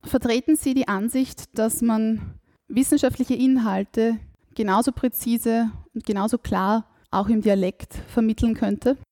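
A woman's voice: fairly high pitch, steady tone, measured pace, mellow, no echo, studio quality. A woman interviewer, asking polite, formal, thoughtful questions.

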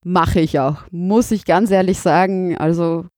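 A woman's voice: lowish voice, steady tone, a little slow, darkish tone, dry recording, clean studio sound. Candid Austrian woman teacher. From Burgenland, Austria. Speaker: teacher, interviewee. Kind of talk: interview answers.

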